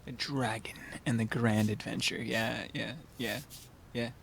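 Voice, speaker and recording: A man's voice: mid-range voice, little pitch movement, a little slow, creaky, fairly bright tone, slight background noise. A man giving casual, muttered, repetitive reaction commentary as he streams a game.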